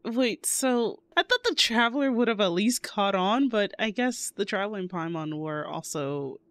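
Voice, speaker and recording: A woman's voice: mid-range voice, lively intonation, moderate pace, fairly bright tone. A woman streamer commenting casually and laughing while she plays a story game. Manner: chatty, laughing, reacting. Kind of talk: gameplay reaction commentary.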